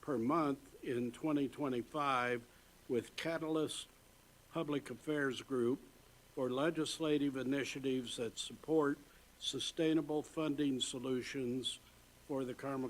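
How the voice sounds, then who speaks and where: A man's voice: medium pitch, fairly even intonation, unhurried, rough recording. A man formally reading a motion aloud at a public meeting.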